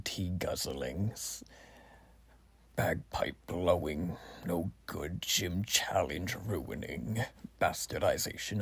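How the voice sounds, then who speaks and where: A man playing a zealous, courteous revolutionary war soldier in an ASMR roleplay exam, speaking softly and breathily with formal, old-fashioned phrasing. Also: low-pitched, no room sound, exaggerated voice. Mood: bitter contempt. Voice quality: soft, breathy, often near whisper. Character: zealous, folksy revolutionary war soldier examining a new recruit.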